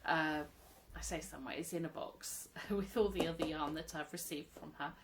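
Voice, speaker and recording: A woman in her thirties, chatting casually at home about her crochet, a little croaky from a cold, rambling and laughing often. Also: deep voice, steady tone, moderate pace, noisy background. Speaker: crochet vlogger, mother. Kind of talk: casual show-and-tell chat.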